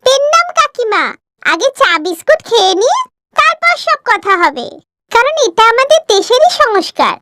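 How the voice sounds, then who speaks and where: Woman as an eager high-voiced young village bride. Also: big pitch swings, normal speed, bright, forceful tone, dry recording, clean studio sound, full character voice. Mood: earnest. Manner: respectful, earnest. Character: polite, eager young village daughters-in-law, simple and earnest.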